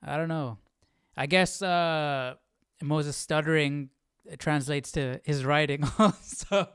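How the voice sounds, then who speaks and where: A man's voice: a little high, slow, fairly bright tone, smooth, no echo. Man musing casually, very clean dry sound. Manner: musing aloud, informal. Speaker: host of a livestream Bible study. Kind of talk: casual live commentary on a reading.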